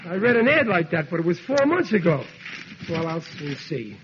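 Blustery working-class American dad, dim and stubborn, highish voice, old muffled radio sitcom sound. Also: male voice, big pitch swings, moderate pace, fairly bright tone. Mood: blithely stubborn. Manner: grumbling, indignant, ungrammatical working-man talk. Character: blustery, stubborn, slow-witted working-class family father. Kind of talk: 1940s American radio comedy acting. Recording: dull vintage radio recording, hissy.

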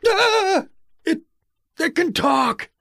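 A male actor plays a middle-aged everyman dad in a comic audio drama, bewildered and awkward. He rambles slowly and hesitantly in a gravelly voice that swings hugely in pitch. The sound is very dry and close. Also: animated, sharp tone, no room sound, full character voice. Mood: panicked. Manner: hesitant, rambling, easily flustered. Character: bewildered, awkward, tragically average suburban dad. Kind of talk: comedic audio drama acting.

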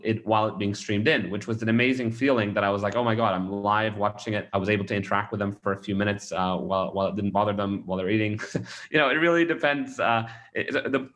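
A man's voice: fairly low pitch, fairly bright tone. A man from Australia, a startup founder, answering interview questions in a rambling way with many restarts and fillers.

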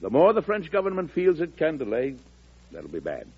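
An actor playing a weary but firm older US President, stubborn and folksy, in old-time radio drama style. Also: male voice, fairly high pitch, huge pitch swings, normal speed, slightly rough, full character voice. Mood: firm, resolute. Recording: dull vintage radio recording.

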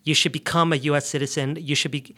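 A man from the United States speaking as an interview guest, explaining things earnestly in conversational, somewhat halting phrases. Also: fairly high pitch, little pitch movement, a bit bright. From United States, grew up in New York and the South. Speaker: immigration justice advocate, interview guest.

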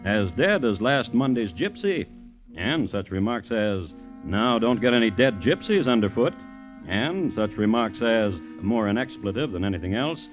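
Low-voiced, droll macabre storyteller. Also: male voice, soft background music. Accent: American. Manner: deadpan, deliberate. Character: droll, macabre male crime storyteller, dryly formal and unhurried. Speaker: narrator and host. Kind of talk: 1950s radio narration, scripted. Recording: muffled vintage radio recording.

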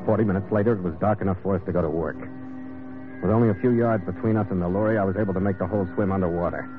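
A tough, wry skipper with a low voice, played by a man, clipped and hard-boiled. It is old-time radio adventure, heard through a thin, tinny recording with music behind. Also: steady tone, very fast, close to an everyday voice. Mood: wry narrating. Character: tough, wry male sea captain narrator.

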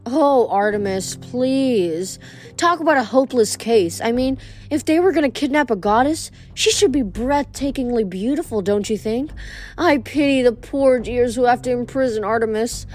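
A woman's voice: on the high side, animated, darkish tone, natural voice. A woman voicing a vain, gushing goddess of love who drawls and coos dramatically. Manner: breathy, drawn-out, dramatic gushing.

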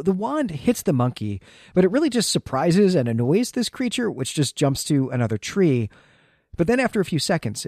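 Male podcast host, medium pitch, dry recording, analytical, conversational recap, thinking aloud. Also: a little mellow, no echo. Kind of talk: conversational literary recap, partly reading quotes aloud.

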